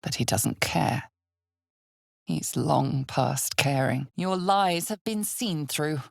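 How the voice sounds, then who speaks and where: Ominous, gravelly, low-voiced fantasy game narrator. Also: female voice, animated, no room sound, studio quality, full character voice. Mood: ominous, solemn. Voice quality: husky, gravelly, breathy. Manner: measured, deliberate, hushed theatrical delivery. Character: ominous, knowing female narrator of dark fantasy. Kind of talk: dramatic scripted second-person fantasy game narration.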